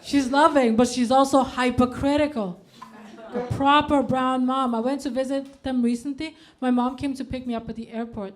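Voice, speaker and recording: A woman in her thirties from Denmark, performing a stand-up comedy set on a club stage, deadpan with punchy outbursts. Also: high-pitched, moderate pace.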